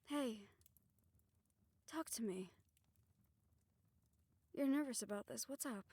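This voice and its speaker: Calm, higher-voiced witch played by a woman, close and dry. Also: slow pace, husky, no room sound, heavily acted. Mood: calm, reassuring. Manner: steady, encouraging, instructing. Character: calm, reassuring female witch leading a soul-bond ritual. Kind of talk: audio roleplay acting.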